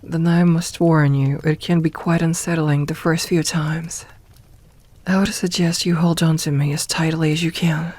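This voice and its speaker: A woman playing a doting, teasing evil lamia queen in an ASMR roleplay, soft-spoken and unhurried, with formal phrasing and near-whispered murmurs. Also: deep voice, flat delivery, dry recording. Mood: gentle, reassuring. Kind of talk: ASMR roleplay, intimate personal attention.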